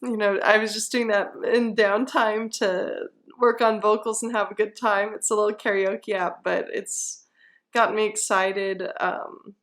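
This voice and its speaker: Mid-pitched woman, casual podcast guest. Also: little pitch movement, a little slow, a bit bright. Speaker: musician guest. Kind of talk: casual interview chat.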